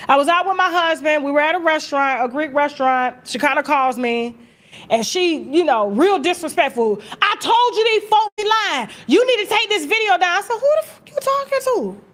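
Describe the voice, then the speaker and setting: An American woman telling gossip in a casual, animated, story-like way, brash and sassy, swearing freely and acting out conversations. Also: high-pitched, normal speed. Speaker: gossip vlogger and host.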